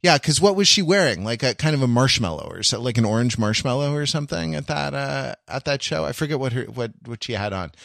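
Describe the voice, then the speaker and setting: A man's voice: mid-range voice, lively intonation, quick pace, vocal fry, hardly any pauses, fairly bright tone, no room sound, studio quality. A man co-hosting a podcast in casual conversation, often searching for words and correcting himself. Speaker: podcast co-host. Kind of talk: casual chat.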